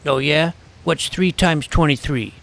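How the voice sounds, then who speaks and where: An actor playing a nerdy middle-school boy who narrates his own superhero exploits in a dry, self-important, deadpan way. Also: fairly high pitch, unhurried. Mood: earnest. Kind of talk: scripted audio drama narration.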